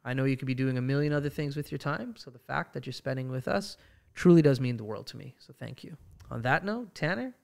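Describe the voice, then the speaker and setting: A man hosting a casual morning talk show from a small studio, upbeat and animated, with direct questions for his guest. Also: mid-range voice, a little fast, creaky. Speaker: podcast host. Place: small studio room with armchairs. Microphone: boom-mounted studio mic.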